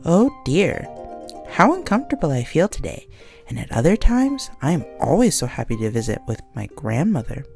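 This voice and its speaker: A woman's voice: sits low, sing-song, moderate pace, gravelly, mellow, faint music behind, full character voice. A woman voicing a sweet, wide-eyed little girl in a storybook, exclaiming with wonder. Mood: uneasy.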